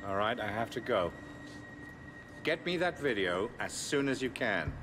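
A man's voice: on the low side, big pitch swings, unhurried, noisy background. An actor playing a calculating male police commander who speaks formally and measuredly. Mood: cool. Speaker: police superior. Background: game score music.

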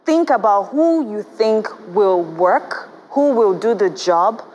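Measured Nigerian woman talk show guest. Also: medium pitch, lively intonation, a little mellow, low-quality recording. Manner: measured, cautious explanation. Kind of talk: studio talk show interview. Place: TV studio. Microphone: lapel mic.

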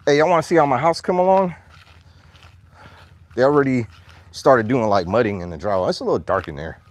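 Thirties male farm vlogger, animated, phone mic outside. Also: mid-range voice, fairly quick. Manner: chatty, animated, coos at his dogs. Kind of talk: casual vlog chat. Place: outdoors on a farm. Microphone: phone at arm's length.

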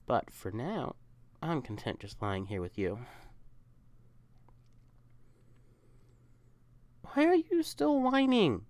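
A woman's voice: extremely low pitch, wildly swooping pitch, unhurried, darkish tone, dry recording, exaggerated voice. A young woman voicing an affectionate, teasing, protective catboy boyfriend in an intimate, coaxing ASMR roleplay. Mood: clingy, teasing. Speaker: audio roleplay performer.

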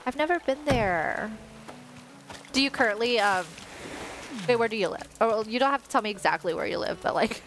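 A woman's voice: on the high side, lively intonation, brisk, long pauses. Young streamer girl, first language tagalog, rambling chatty voice, headset mic, game music in the back. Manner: chatty, rambling, many "like" fillers. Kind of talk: casual chat while playing a life-sim game. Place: bedroom at home, indoors. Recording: game music playing behind.

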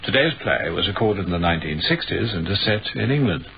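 A man's voice: sits low, big pitch swings, a little fast, vocal fry. A man giving a formal, scripted announcement as the announcer of a radio drama. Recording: muffled, hissy old radio recording.

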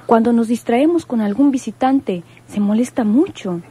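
A woman's voice: fairly high pitch, moderate pace, dark tone, husky. An actress playing a polite, serious woman in a radio soap, speaking properly and measuredly. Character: polite, serious hospital working woman, grieving sister. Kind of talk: Mexican radio soap acting.

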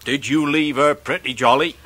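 An actor playing a good-natured, humble seaside fisherman in a radio drama, with a hearty, halting manner. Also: medium pitch, fairly even intonation, fairly bright tone, close to an everyday voice. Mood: hearty, welcoming. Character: gruff, good-natured, large hairy old-time seaside fisherman, kindly uncle. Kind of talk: 1990s radio drama acting.

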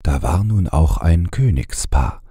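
A male storyteller reads a bedtime fairy tale softly and soothingly. His voice is low, dark and very breathy, and he takes his time. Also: sing-song, no room sound, clean studio sound. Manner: gentle, soothing, measured. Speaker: audiobook narrator.

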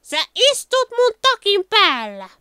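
Shrill impatient moviegoer girl, voiced by a man: very high, bouncy, whiny, dry close mic. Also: wildly swooping pitch, bright, forceful tone, no room sound, exaggerated voice. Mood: bossy. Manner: bossy, impatient.

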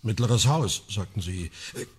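Very husky, sardonic investigator. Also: male voice, lowish voice, animated, heavily acted. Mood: wry. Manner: wry, ornate, deliberate. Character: sardonic, erudite man leading a private investigation. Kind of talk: naturalistic radio crime drama acting.

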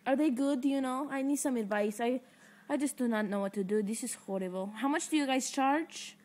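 A woman's voice: high voice, a little fast, darkish tone. A teenage girl making a prank phone call from a living room, rambling in fake distress, recorded on a close laptop webcam mic. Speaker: prank caller.

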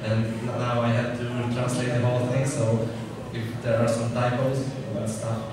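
A man's voice: mid-range voice, normal speed, a crowd in the background. A young man from Hungary speaking English with a Hungarian accent, giving a hesitant talk full of fillers and restarts on a stage, recorded from a distance. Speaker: hackerspace member giving a talk. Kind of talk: conference talk. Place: stage in a large hall. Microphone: room mic from a distance. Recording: echoey hall, distant mic, steady noise.

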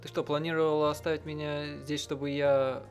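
A man voicing chatty, chiding young women in an improvised, halting game dub. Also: fairly high pitch, level tone, music in the background, close to an everyday voice.

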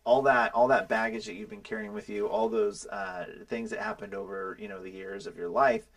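A man's voice: lowish voice, expressive, a little fast, gravelly. An American man in his forties hosting a conversational podcast, speaking emphatically and repeating phrases for stress. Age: 40s. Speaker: podcast host. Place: small indoor podcast studio. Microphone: podcast microphone on boom arm.

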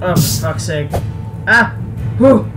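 A man's voice: sits high, expressive, takes it slowly. A young man giving casual, sweary, exasperated let's play commentary as a gaming video host. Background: game music.

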